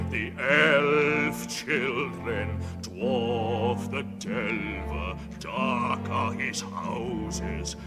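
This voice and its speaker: An actor in a radio drama playing an ancient, ponderous Ent, a tree herder who speaks deliberately, humming and musing. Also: male voice, mid-range voice. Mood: chanting, reciting.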